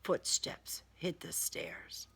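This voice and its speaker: Slow-paced woman narrating a crime thriller. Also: fairly bright tone, in a whisper. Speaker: author reading own novel excerpt. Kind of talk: first-person audiobook narration of a crime thriller.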